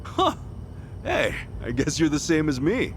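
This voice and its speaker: A man's voice: on the high side, wildly swooping pitch, unhurried, a little hiss or hum. A man playing a brash, good-natured, streetwise tough guy in a game cutscene, talking bluntly and casually in slang. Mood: easygoing, curious. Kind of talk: English video game cutscene dub acting. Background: music.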